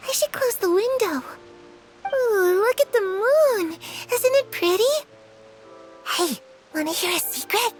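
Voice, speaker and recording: A woman's voice: very high voice, exaggerated voice. A woman voicing a lonely, fragile young girl in a video game, dreamy and hesitant. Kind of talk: video game character dialogue.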